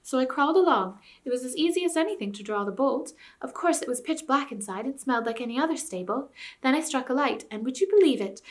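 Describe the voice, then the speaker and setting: A woman's voice: high-pitched, voice pushed up. A woman reading aloud, voicing a bold, giggly schoolgirl who talks breathlessly while holding back laughter. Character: grown-up girl adventurer, bold and giggly.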